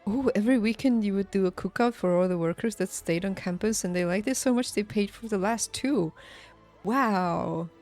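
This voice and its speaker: A woman's voice: medium pitch, moderate pace, darkish tone. A woman game streamer chatting casually and reassuringly, in a conversational way. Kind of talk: casual livestream chat. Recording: game music playing behind the voice. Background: video game music.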